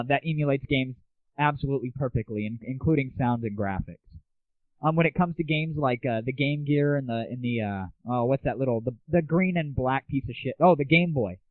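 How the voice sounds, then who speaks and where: A man's voice: medium pitch, dry recording. A man hosting a casual solo internet audio show, talking in an irreverent, crude, off-the-cuff way. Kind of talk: casual solo rant and chat. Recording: muffled phone-like lo-fi 1990s internet audio.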